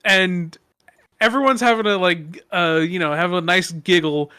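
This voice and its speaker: A man with a soft voice sits in on a casual podcast chat. His pitch runs high and his tone is bright. He often restarts his sentences as he tells a story. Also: moderate pace, clear, resonant tone, no echo. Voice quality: fairly soft voice. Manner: animated, restarts phrases often. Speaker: podcast guest.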